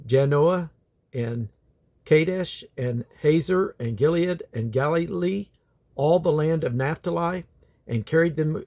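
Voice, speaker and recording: A man reading the Bible aloud in a solemn, deliberate, phrase-by-phrase delivery. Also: medium pitch, gravelly, darkish tone. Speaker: scripture reader.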